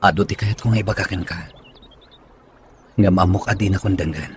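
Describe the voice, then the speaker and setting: Actor as a low-voiced lovelorn young man. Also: steady tone, a little fast, slight background noise, lightly acted. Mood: pleading. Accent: Filipino. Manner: earnest, pleading. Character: lovelorn young man pleading with his sweetheart. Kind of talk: Ilocano radio drama acting.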